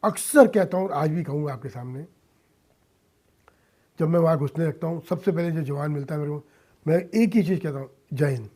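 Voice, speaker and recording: Male, middle-aged or older, higher-set yet soft-edged mellow voice, earnest interviewee delivering deliberate, emphatic phrases. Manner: emphatic, deliberate, short phrases, respectful address, some English mixed in. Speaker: interview guest, social-cause filmmaker and philanthropist. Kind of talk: earnest radio interview talk.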